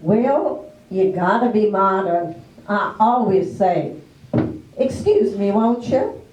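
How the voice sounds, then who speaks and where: A woman's voice: mid-range voice, sing-song, slow, dull audio, noisy background. A woman playing a plain-spoken, bossy small-town American farm wife with a folksy, no-nonsense way of talking, in a readers theater play. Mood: neighborly. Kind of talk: readers theater stage acting. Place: live play in a library. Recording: echoey room, distant microphone.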